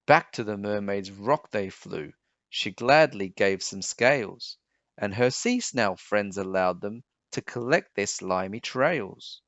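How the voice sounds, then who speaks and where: A man reading a children's story aloud in an expressive, emphatic, measured way. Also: on the low side, dry recording. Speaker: storybook reader. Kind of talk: children's read-aloud narration. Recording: dull, slightly muffled sound.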